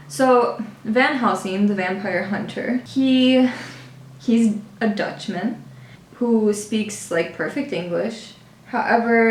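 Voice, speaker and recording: Casual vlogger woman, mid pitch, chatty with fillers, echoey room. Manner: chatty, fillers, laughs at herself. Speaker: book and makeup vlogger. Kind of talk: casual book review talk. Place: lives in Budapest. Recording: roomy echo.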